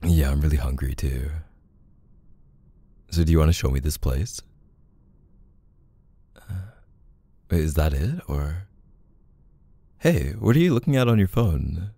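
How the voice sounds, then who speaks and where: A man's voice: rumbling low, expressive, a little slow, long pauses, dark tone, breathy edge, dry recording. A man performing a casual, intimate roleplay as a playful, bashful, affectionate boyfriend, talking one-sidedly with a hesitant manner.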